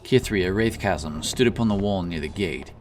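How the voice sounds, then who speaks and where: Male narrator, very low voice. Solemn, dramatic fantasy storytelling over soft music. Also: normal speed.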